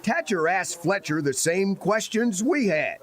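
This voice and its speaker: A man's voice: sits high, very animated, a little slow, fairly bright tone. An American man delivering scripted investigative news narration as a television reporter.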